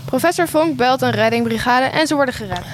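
A woman with a very high voice narrates an amateur radio play. She reads short scripted scene links between the acted parts. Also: fairly quick, noisy background.

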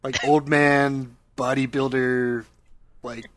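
Mid-pitched guy on a podcast who fumbles his words, close and dry. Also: level tone, slow, a bit bright, no room sound. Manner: hesitant, restarts, gropes for words. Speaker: podcast co-host. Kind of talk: casual movie review chat.

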